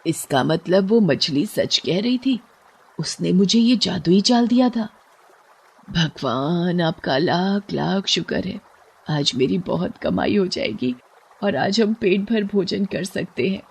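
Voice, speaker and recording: Woman playing a humble fisherwoman, soft-spoken and kind, husky tone. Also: fairly low pitch, expressive, slow pace, exaggerated voice. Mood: relieved. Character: poor, kind-hearted fisherwoman wife. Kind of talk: cartoon story voice acting.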